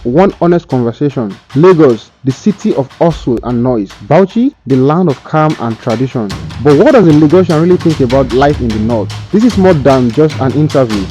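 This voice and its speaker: A man delivers a dramatic, scripted voice-over in short, measured fragments, with a dark, mellow tone. Soft drum music plays underneath him. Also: mid-range voice, animated. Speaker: narrator of the video intro.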